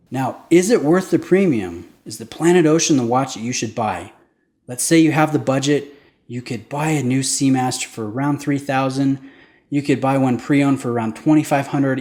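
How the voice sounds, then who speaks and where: A man's voice: mid-range voice, a little mellow. A man hosting his own channel, talking casually and with enthusiasm as he explains the details of a watch. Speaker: watch reviewer. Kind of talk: casual product review to camera.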